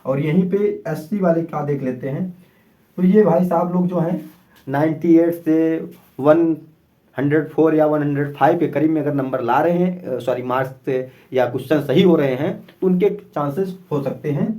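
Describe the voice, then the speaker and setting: A man's voice: fairly high pitch, normal speed, warm tone. A man giving an informal, slightly rambling explanatory talk to students as a coaching presenter. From India.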